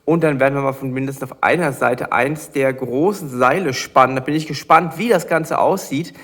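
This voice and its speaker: A man's voice: mid-range voice, normal speed, a bit bright. A man with a slight cold, chatting casually while he explains his building steps in a hobby vlog. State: has a cold. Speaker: LEGO builder and channel host.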